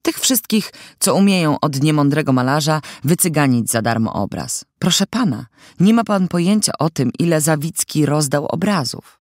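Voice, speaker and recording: Man voicing an animated, impish teenage girl. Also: fairly high pitch, moderate pace, no echo, clean studio sound, voice pushed up. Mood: indignant. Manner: lively, emphatic, theatrical. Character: chatty, impish sixteen-year-old girl with turned-up nose. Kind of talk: audiobook character dialogue.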